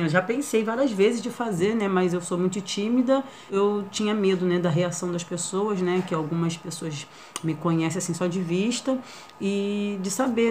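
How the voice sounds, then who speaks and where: A shy woman in her thirties with a Rio de Janeiro accent talks into a nearby camera in a small living room. Her voice sits a little low, and a faint hum of room ambience runs under her halting, filler-heavy speech. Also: darkish tone. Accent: Rio de Janeiro Portuguese. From Brazil. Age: 30s. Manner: shy, hesitant, many fillers and tag questions. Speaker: vlogger. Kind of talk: casual first-vlog introduction.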